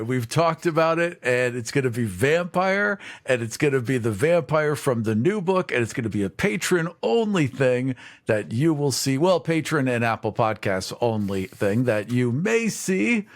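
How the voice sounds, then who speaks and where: A man co-hosting and editing a podcast, chatting casually, teasing his co-host and laughing often. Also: medium pitch.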